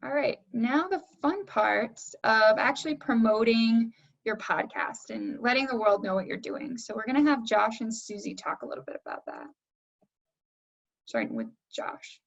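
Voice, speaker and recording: Woman moderator, slightly creaky, dull audio. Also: a little high, very animated, normal speed. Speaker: panel moderator. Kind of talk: casual panel hosting.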